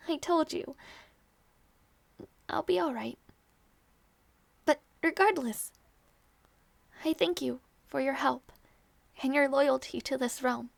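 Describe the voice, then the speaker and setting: A woman acting a young princess in an intimate audio roleplay, tender and wistful, with soft, regal phrasing that hides sadness. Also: high voice, gravelly, no echo, heavily acted. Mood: resigned, reassuring. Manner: soft, measured, regal phrasing with small teasing turns.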